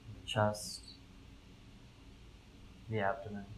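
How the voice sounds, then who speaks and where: A man leading a guided meditation, giving gentle, calm instructions in measured phrases with pauses between them. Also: fairly low pitch, low-quality recording. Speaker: mindfulness fellow, meditation guide. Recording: live recording with clear background noise.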